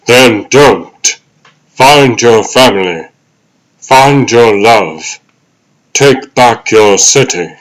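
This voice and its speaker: Man playing a slow-speaking, fatherly ghost of an old butler. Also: medium pitch, animated, poor audio. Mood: tender, encouraging. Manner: deliberate, earnest, fatherly. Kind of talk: comic dub dramatic monologue.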